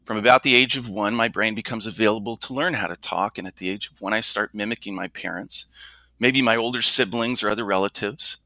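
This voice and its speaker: A man working as a speaking coach, answering interview questions in an explanatory way and slowing down to stress his points. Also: lowish voice, sharp tone, no echo, rough recording.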